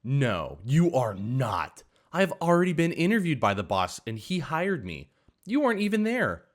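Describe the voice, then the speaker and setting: A man voicing an entitled, spiteful older woman server who scolds and rants loudly. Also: huge pitch swings, normal speed. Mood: shrill indignant. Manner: shrill, scolding, indignant.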